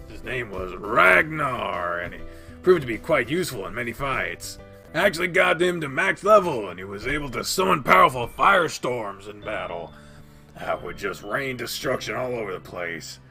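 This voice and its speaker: A man doing an excitable furry gamer guy. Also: mid-range voice, moderate pace, gravelly, soft background music, full character voice. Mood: boastful. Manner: giggly, boastful storytelling. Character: excitable young male animal-person gamer.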